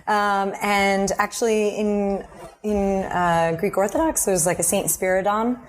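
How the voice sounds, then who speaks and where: A woman's voice: mid-range voice, fairly even intonation, unhurried, slight background noise. A young woman from Connecticut, a fashion designer chatting casually as a guest and laughing often. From Connecticut, United States. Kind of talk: casual chat.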